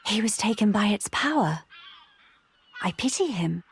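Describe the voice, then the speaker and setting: A woman's voice: medium pitch, lively intonation, a little slow, a little hiss or hum. A woman voicing a dignified, solemn young noblewoman in a video game, formal and composed. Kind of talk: dramatic video game voice acting.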